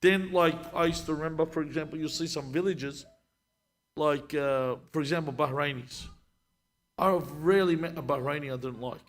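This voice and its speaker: A man's voice: a little high, moderate pace. A man in his thirties, a sheikh, answering questions and telling stories in a live discussion. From Lebanon. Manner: conversational, storytelling, many restarts. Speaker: sheikh, guest speaker.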